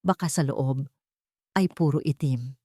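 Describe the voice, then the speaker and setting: A woman's voice: rumbling low, a little slow, warm tone, dry recording, clean studio sound. A woman voicing a wise older father who cautions solemnly in an audiobook drama. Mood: solemn warning. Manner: solemn, admonishing.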